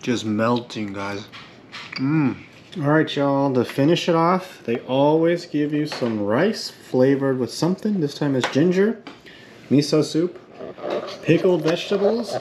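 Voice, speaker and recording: A man in his thirties vlogging restaurant meals at arm's length, upbeat and savoring each bite, calling out to his viewers. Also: on the high side. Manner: enthusiastic, keeps saying "guys", savoring with hums. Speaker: food vlogger. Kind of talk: casual food vlog narration. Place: restaurants in Kyoto, indoors and riverside outdoors. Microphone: handheld camera at arm's length.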